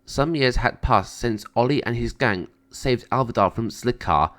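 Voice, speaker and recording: A man's voice: mid-range voice, moderate pace, fairly bright tone. A man reading scripted narration from a children's story aloud. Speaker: storybook reader.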